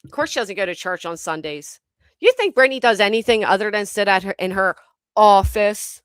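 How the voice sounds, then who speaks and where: A woman's voice: mid-range voice, lively intonation, a little fast, clear, resonant tone, no echo. A woman hosting a commentary stream, talking bluntly and scornfully and swearing freely. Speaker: commentary channel host. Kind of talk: casual reaction commentary.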